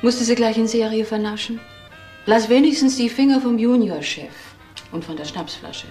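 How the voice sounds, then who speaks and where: An actress playing a sensible, admonishing office colleague in a crime radio drama, reproachful and matter-of-fact. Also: female voice, on the high side, moderate pace, darkish tone, soft background music, natural voice.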